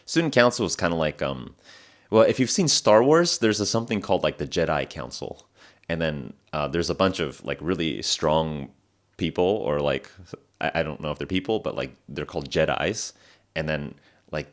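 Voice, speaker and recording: A man in his twenties hosting a casual podcast, talking through stories in a relaxed, rambling way, full of fillers and restarts, his voice sounding lower than usual in the morning. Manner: relaxed, rambling, many fillers and self-corrections. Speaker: podcast host. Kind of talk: casual storytelling monologue for English learners.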